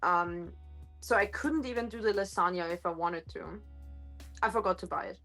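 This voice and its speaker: A woman streamer chatting casually in a home kitchen, her voice a little gruff today, rambling with lots of fillers and self-corrections, picked up by a phone microphone. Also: on the low side, moderate pace, fairly bright tone, faint music behind, poor audio. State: slightly gruff voice. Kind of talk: casual live-stream chat. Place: home kitchen with hard countertops.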